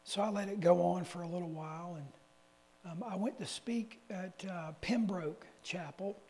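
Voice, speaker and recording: A man's voice: high-pitched, unhurried, a little mellow. A man preaching a conversational sermon to a church assembly, rambling through folksy personal stories with self-correcting asides. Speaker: lay preacher. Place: church meeting hall. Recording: reverberant hall with faint hum.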